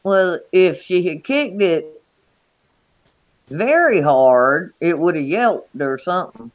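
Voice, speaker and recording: A man in his fifties co-hosting an American talk show, speaking slowly and drawn out. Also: fairly high pitch, lively intonation. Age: 50s. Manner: slow and drawn out. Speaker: co-host. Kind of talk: casual chat. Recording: dull lo-fi sound.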